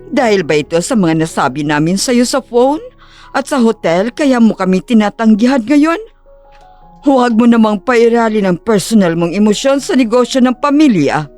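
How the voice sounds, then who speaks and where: A woman's voice: a little high, lively intonation, normal speed, darkish tone, faint music behind, close to an everyday voice. A woman playing a reproachful, proud middle-aged mother in an audio drama.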